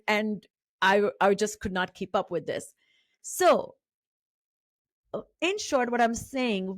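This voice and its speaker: A middle-aged woman from India with an Indian English accent, a native Marathi speaker, hosting an interview podcast in a measured way with many fillers and restarts. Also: medium pitch, no echo, clean studio sound. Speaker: podcast host.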